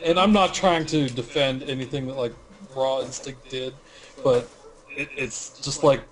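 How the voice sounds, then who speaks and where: A man with a mid-range voice speaks in a laid-back, halting way in casual podcast chat, often trailing off mid-thought. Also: mid-range voice, steady tone, rough recording.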